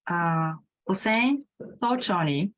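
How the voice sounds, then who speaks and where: Woman learner trying out phrases, slow and careful, mid-pitched. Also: animated, noisy background. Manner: hesitant, practicing new phrases. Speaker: language student.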